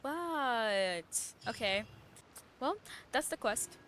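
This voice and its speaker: A woman's voice: a little high, lively intonation, extremely slow, a bit bright, a little hiss or hum. A woman giving informal, spontaneous commentary while playing a video game. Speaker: gamer reacting to story quest. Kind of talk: casual gameplay commentary.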